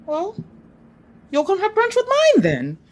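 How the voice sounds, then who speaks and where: A woman's voice: very high-pitched, wildly swooping pitch, unhurried, slight background noise, heavily acted. An actress playing a brash, generous woman with a blunt, casual, emphatic way of talking in an audio drama. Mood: generous, breezy. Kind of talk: live audio drama acting.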